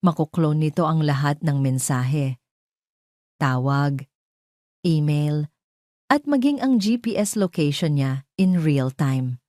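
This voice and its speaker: A woman's voice: low-pitched, lively intonation, slow, pauses often, darkish tone, dry recording, clean studio sound. A Filipino-accented woman voicing a businesslike male tech expert in his thirties, explaining matter-of-factly in an audio drama.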